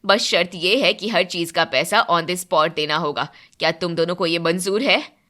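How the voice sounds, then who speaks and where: A woman playing a stern middle-aged mother-in-law in a story, measured and firm. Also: lowish voice, sharp tone.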